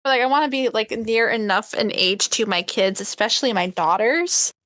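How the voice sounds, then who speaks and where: A woman in her twenties chatting casually as a podcast co-host, animated and informal in the way she talks. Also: a little high, moderate pace, dry recording. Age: 20s. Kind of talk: casual chat.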